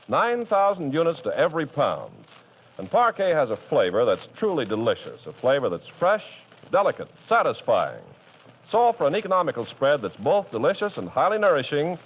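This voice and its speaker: A man reads scripted ads and narration as a radio announcer. He delivers it crisp and emphatic, with a lively, rising pitch. The old broadcast sounds dull and muffled.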